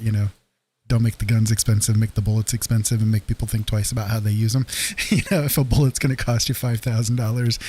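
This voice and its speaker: A man's voice: mid-range voice, dark tone, a little hiss or hum. Man, livestream host, rambling casual chat, lots of fillers, laughs often, rural home.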